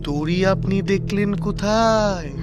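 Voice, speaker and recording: A man's voice: sits high, takes it slowly. A man playing an evasive house seller in a Bengali audio drama, reassuring and dismissive, repeating himself insistently. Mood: agitated, insistent. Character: evasive, insistent lone man selling his eerie house, Sadashib. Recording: loud background music.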